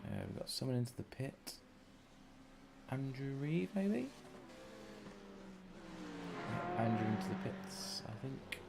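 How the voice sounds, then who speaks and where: A man giving casual, chatty live sim racing commentary as a stream commentator, with a gravelly voice. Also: medium pitch, sing-song, slow pace, pauses often. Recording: clear background noise on stream.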